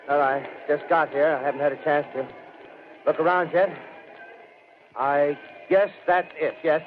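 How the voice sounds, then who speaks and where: A man's voice: fairly high pitch, lightly acted. An actor playing an earnest radio field reporter in a 1930s drama, formal and now and then hesitant as he searches for words. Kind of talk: 1930s radio drama acting as live commentary. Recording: tinny, noisy old 1930s radio broadcast.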